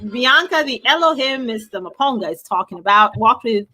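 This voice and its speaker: A woman's voice: on the high side, expressive, a little fast, sharp tone, soft background music. A woman hosting a conversational discussion, reading out audience comments and giving her opinions with pointed questions.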